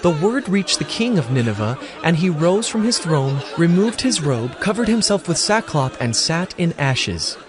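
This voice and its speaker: A man's voice: fairly high pitch, big pitch swings, moderate pace, hardly any pauses, crowd noise. Man narrating scripture expressively.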